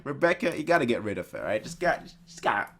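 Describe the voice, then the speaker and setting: A man's voice: mid-range voice, big pitch swings, quick pace, noisy background. A man giving casual reaction commentary in a rambling way, often repeating phrases for emphasis. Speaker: reaction video host.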